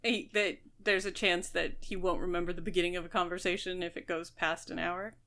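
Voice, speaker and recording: A woman's voice: fairly low pitch, little pitch movement, brisk, bright, forceful tone. A woman co-hosting a podcast, discussing a book casually and analytically, thinking aloud as she goes. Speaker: podcast co-host.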